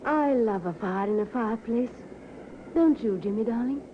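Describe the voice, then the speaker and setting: An actress playing a clinging, lovesick young mistress in an emotional, pleading 1950s radio drama style. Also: female voice, on the high side, animated, moderate pace, lightly acted. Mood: anxious, hurt. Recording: muffled, noisy 1950s radio recording.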